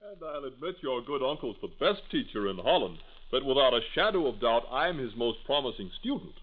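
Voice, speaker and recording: An actor playing a teasing, confident young suitor in a vintage radio drama, lively and theatrical. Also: male voice, mid-range voice, brisk, narrow, tinny audio. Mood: teasing, incredulous. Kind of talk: 1940s radio drama acting. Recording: thin, band-limited old radio recording.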